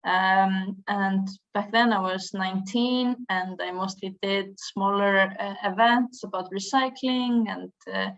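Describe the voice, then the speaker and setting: Icelandic woman in her 20s, slow and halting, lots of ums, dull muffled video call sound. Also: mid-range voice, steady tone, fairly bright tone. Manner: hesitant, many ums and restarts. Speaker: youth environmental association chair. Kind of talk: online conference presentation.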